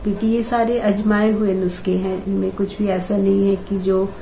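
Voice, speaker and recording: An older woman with a hoarse throat hosts a casual home-remedy tutorial, chatty and unhurried, pausing often and clearing her throat. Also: mid-range voice, flat delivery, mellow. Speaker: host. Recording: echoey room with faint ambient noise.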